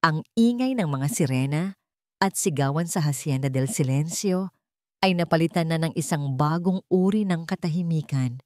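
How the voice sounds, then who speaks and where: A woman's voice: deep voice, animated, normal speed, darkish tone, dry recording, clean studio sound. A woman narrating a scripted dramatic story.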